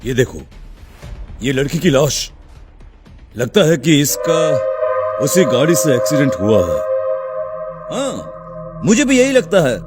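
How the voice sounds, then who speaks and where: Man playing a lively, higher-voiced cartoon policeman. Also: slow pace, long pauses, music in the background. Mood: pondering, serious. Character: investigating policeman, matter-of-fact and concerned. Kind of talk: cartoon dialogue acting.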